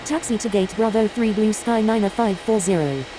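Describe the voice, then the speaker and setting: Female airline pilot for a flight game, played by an actress: clipped procedural radio calls, mid pitch, clear background whirr. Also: normal speed, close to an everyday voice. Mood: businesslike. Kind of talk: scripted air traffic radio calls. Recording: noisy capture with steady whirring hiss.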